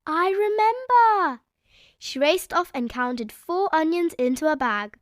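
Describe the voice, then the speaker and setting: Slow, animated woman reading a storybook. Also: high-pitched, no echo, studio quality. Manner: expressive, measured storytelling. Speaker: storybook narrator. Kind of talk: children's read-aloud narration.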